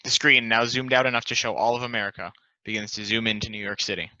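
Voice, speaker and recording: A man's voice: on the low side, fairly even intonation, quick pace, sharp tone, no echo. A man reading scripted stage directions as narration at a table read. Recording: dull, lo-fi close dry recording.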